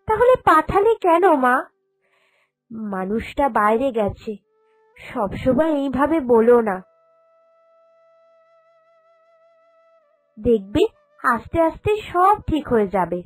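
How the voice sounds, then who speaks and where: A woman's voice: sits high, big pitch swings, measured pace, faint music behind, low-quality recording. A woman voicing a sensible, caring young woman of the family in a cartoon drama, earnest and reassuring. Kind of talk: Bengali cartoon drama dubbing.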